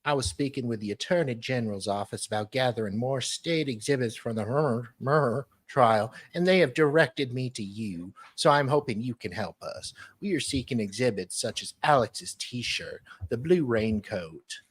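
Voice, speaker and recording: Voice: mid-range voice, lively intonation, moderate pace, hardly any pauses, slightly rough, dry recording. Wry panellist reading emails aloud. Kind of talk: reading documents aloud with joking asides.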